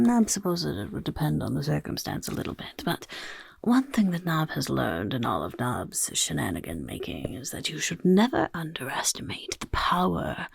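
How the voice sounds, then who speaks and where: Woman whispering as a gravelly goblin recruiter. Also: lowish voice, no room sound, exaggerated voice. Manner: whispery, speaks of himself in third person. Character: scheming male goblin recruiting for a chaos horde. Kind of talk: soft-spoken ASMR roleplay.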